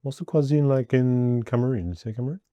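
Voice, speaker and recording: A man's voice: medium pitch, quick pace, no room sound, lo-fi sound. A male podcast host chatting easily with his guest, filling his sentences with lots of "like". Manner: relaxed, many filler likes. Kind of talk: casual chat.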